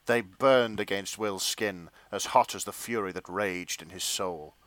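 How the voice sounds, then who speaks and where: A man with a theatrical, expressive delivery, co-hosting and narrating a comic dramatic reading with casual chat. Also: low-pitched, unhurried, no echo. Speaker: narrator and co-host.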